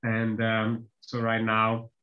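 A man whose first language is Czech, presenting software updates on an online team call, pausing often with fillers. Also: on the low side, completely flat delivery. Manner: hesitant, many filler pauses. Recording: slightly dull lo-fi call audio.

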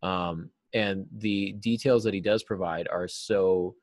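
A man in his forties giving earnest, explanatory answers as an interview guest, stressing key words deliberately. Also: low voice, steady tone, dry recording, clean studio sound. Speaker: writing teacher and novelist, interview guest. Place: small cluttered office, video call.

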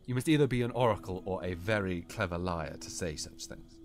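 A man's voice: low voice, expressive, brisk. A man playing a weary, solemn ancient king with grave, formal speech in an audiobook. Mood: grave, trusting. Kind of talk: dramatised audiobook dialogue.

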